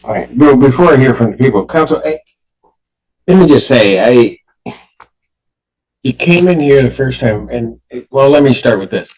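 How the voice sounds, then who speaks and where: A male judge speaks from the bench in a mid-range voice. His delivery is conversational and plain-spoken, halting and starting again as he thinks out loud. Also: medium pitch, animated, muffled recording. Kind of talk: spontaneous courtroom remarks from the bench.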